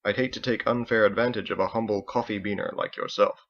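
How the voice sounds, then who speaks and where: A man's voice: lowish voice, steady tone, quick pace, a bit bright, close to an everyday voice. Sly young rival student, played by a male reader, smug and teasing, storybook delivery, dull lo-fi sound. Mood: needling.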